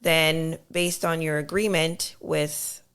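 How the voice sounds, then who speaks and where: A woman explaining accounting on a podcast, patiently walking through step-by-step examples with many fillers, her voice slightly hoarse. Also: low voice, fairly even intonation, takes it slowly, a bit bright. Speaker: accountant guest.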